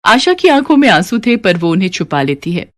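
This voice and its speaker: Female narrator, medium pitch, scripted storytelling for animated moral tales. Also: a little fast.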